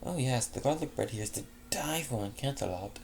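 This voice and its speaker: A man reading a fanfic aloud, voicing a gracious, generous female pony with a higher voice. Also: lively intonation, brisk, darkish tone, slight background noise, natural voice. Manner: gracious, polite.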